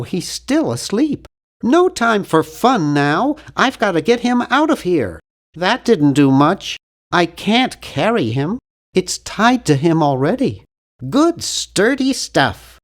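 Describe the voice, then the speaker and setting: A nerdy young cartoon game hero, high for a man, timid n fussy, voice goes up and down a lot. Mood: anxious, then fussy, doubtful. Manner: pedantic, prim, fussy delivery. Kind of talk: cartoon adventure game voice lines.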